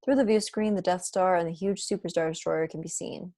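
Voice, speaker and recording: A woman's voice: fairly low pitch, steady tone, brisk, warm tone, no echo. A woman reading screenplay stage directions as scripted narration in an online table read. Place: indoors on a video call.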